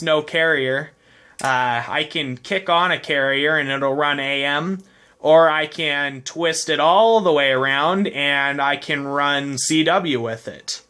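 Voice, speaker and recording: A man's voice: a little high, bright, forceful tone, clean tone. A man talking casually as an amateur radio operator during a radio contact, recorded on a home video. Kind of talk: casual ham radio conversation.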